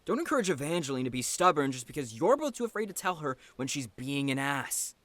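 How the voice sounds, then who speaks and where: A man's voice: medium pitch, very animated, fast, fairly bright tone, no room sound. A man playing a defiant, indignant sailor in an audio drama, blunt and emphatic.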